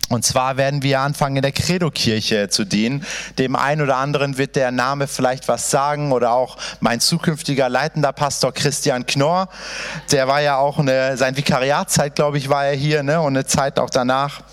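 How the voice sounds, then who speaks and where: A man's voice: medium pitch, steady tone, normal speed, smooth. A man in his thirties, born in Iran, preaching to a church congregation in a passionate, chatty way, speaking straight to the listeners. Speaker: pastor. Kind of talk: sermon introduction.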